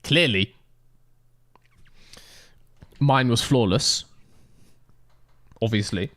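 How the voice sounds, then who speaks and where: A man's voice: mid-range voice, drawn-out words, bright, forceful tone, no room sound. A man streaming a racing game, commenting playfully and joking with his chat. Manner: playful, jokey, talks to chat. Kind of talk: live gameplay commentary.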